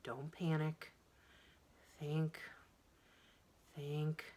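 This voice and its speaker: Young boy adventurer played by a woman reader: nervous, hushed, urgent self-talk, storybook style. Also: deep voice, level tone, drawn-out words, exaggerated voice. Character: anxious young boy adventurer talking himself through danger. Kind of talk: children's storybook character voice.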